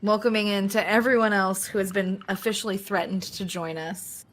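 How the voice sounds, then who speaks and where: A woman's voice: medium pitch, fairly even intonation, normal speed. A woman doing casual, playful co-commentary on a live game stream in an effusive way. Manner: playful, effusive, uses internet slang.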